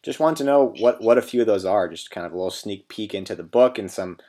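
A man with a low voice hosting an interview. He feels his way into each question, circling back with fillers and restarts. His tone stays soft and conversational. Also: expressive, quick pace, slight background noise. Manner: hesitant, many fillers and restarts, soft-spoken. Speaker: interview host.

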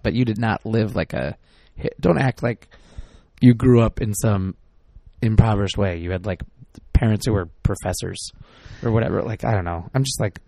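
Exasperated rambling man, dark voice. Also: on the low side, creaky, no room sound. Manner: rambling, exasperated, self-interrupting. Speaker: podcast co-host. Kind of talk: casual chat.

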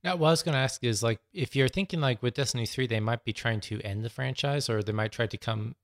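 A man's voice: mid-range voice, fast, no echo, studio quality. A man chatting casually as a podcast co-host. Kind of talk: casual chat.

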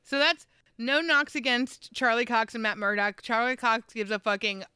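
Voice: mid-range voice, fairly even intonation, normal speed, pushed and bright, smooth, no room sound. A co-host in casual chat, enthusiastic and swearing a lot, with many fillers.

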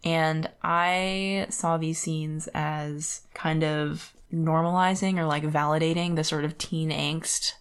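A woman's voice: sits low, steady tone, slow pace, hardly any pauses, smooth. A woman co-hosting a podcast, chatting casually about books in an informal, rambling way full of "like". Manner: informal, filler-heavy with frequent "like". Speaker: podcast co-host. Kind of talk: casual book discussion chat.

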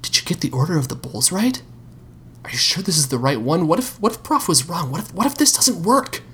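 A man's voice: mid-range voice, lively intonation, slow pace, fairly bright tone, pitched above the performer's own voice. A man doing a stammering nervous young waiter. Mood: anxious. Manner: stammering, hesitant.

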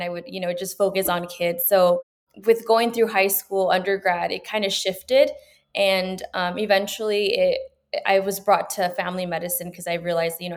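A woman in her twenties, from Peru, telling her story as an interview guest in an earnest, rambling way. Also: mid-range voice. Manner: earnest, rambling with fillers and restarts. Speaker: guest, medical student. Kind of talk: conversational interview.

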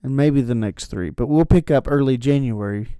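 A man co-hosting a church podcast in casual chat, with a dry, teasing wit and quick jokey asides. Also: mid-range voice, mellow, clear, resonant tone, no echo. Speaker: podcast co-host.

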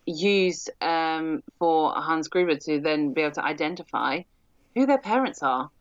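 A woman's voice: sits low, measured pace, no room sound. A woman chatting casually about a film as a co-host, explaining her points in a considered way, on a slightly dull microphone. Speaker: film discussion podcast host. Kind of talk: casual chat, film discussion.

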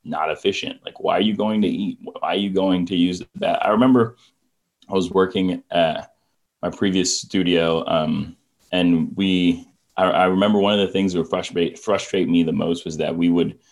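A man in his twenties, a guest on a podcast, talking in a relaxed, reflective way with many "like"s. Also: deep voice, normal speed. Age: 20s. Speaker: guest, visual effects artist. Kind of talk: casual interview chat.

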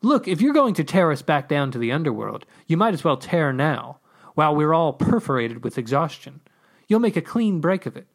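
A man's voice: fairly high pitch, very animated, moderate pace, gravelly, heavily acted. A man voicing a flustered, chatty escapee from the underworld in a story, rambling with mock-formal politeness. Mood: exasperated, pleading.

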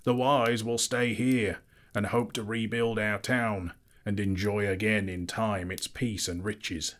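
A man voicing a wary, pompous old town master who speaks loud and clear in his own defence. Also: lowish voice, steady tone, a little slow. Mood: haughty.

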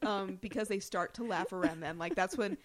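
A woman's voice: mid-range voice, a little fast, over a noisy crowd. Woman podcaster chatting casually. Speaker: podcast co-host. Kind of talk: casual TV episode discussion.

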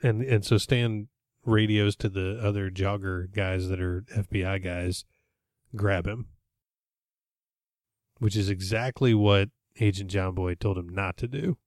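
A man's voice: on the low side, steady tone, darkish tone, no room sound, studio quality. A man hosting a podcast, casually recapping an episode in a rambling, jokey way. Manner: rambling, jokey, with quick put-on voices. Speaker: podcast host.

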